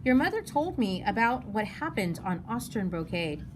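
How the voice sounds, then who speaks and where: A woman's voice: medium pitch, sing-song, moderate pace, natural voice. Woman reading as a friendly, serious young man. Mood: grave. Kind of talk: children's novel read-aloud. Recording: noticeable background noise.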